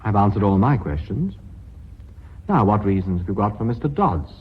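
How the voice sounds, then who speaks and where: A man's voice: on the low side, animated, moderate pace, breathy edge. An actor with a British accent playing an exasperated but orderly company vice chairman in a radio drama. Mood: controlled, brisk. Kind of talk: BBC radio drama acting. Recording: dull lo-fi radio recording.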